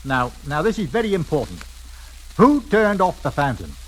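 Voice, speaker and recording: An actor playing a methodical, politely probing police inspector in an old radio drama, with deliberate questioning. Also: male voice, fairly high pitch, sing-song, rough recording. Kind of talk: 1940s radio drama acting. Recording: old radio recording, faint hiss.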